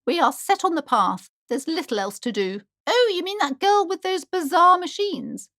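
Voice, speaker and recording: A woman's voice: very high-pitched, lively intonation, moderate pace, fairly bright tone, no room sound, clean studio sound, full character voice. An actress playing a superstitious, pretentious, anxious woman who runs a bookshop, speaking in a haughty, melodramatic, affectedly genteel way for a video game. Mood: composed, brisk. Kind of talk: theatrical game dialogue.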